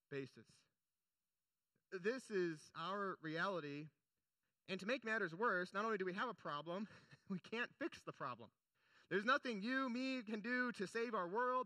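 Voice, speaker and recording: A man's voice: high voice, bright, forceful tone, no echo. A young man preaching a sermon in an earnest, conversational way, with casual asides between scripture readings. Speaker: pastor.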